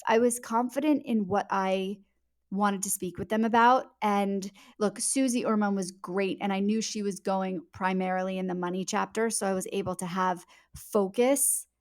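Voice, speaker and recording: Earnest woman answering as interview guest. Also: medium pitch.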